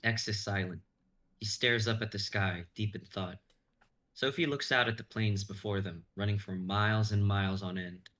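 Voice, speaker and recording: A man's voice: on the low side, steady tone, moderate pace, a bit bright. A young man reading scripted narration and stage directions as narrator. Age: young adult.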